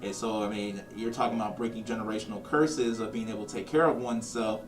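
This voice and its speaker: A man's voice: lowish voice, steady tone, fast. A man talking reflectively and conversationally in an interview. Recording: echoey room, slightly distant mic. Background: background music.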